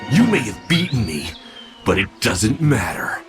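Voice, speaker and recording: Young mutant villain: theatrical, vengeful, extremely wide pitch swings, music beneath. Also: male voice, sing-song, measured pace. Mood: bitter, menacing. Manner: taunting, theatrical. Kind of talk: animated game villain acting.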